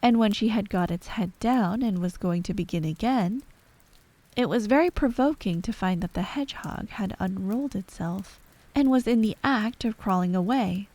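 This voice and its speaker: Female bedtime storyteller, ASMR-style narration, mid-pitched, very dry sound. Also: moderate pace, darkish tone, no echo.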